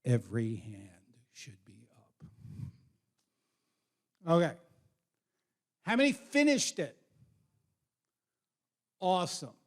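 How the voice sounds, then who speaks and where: A man with a somewhat high voice presents as a school counselor to students and parents. He is informal yet direct, setting out instructions and addressing the audience plainly. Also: wildly swooping pitch, slow, long pauses.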